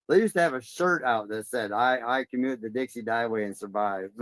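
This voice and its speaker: A man's voice: medium pitch, fairly bright tone, no echo. A man hosting a livestream in a casual, folksy and jokey way. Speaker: livestream host.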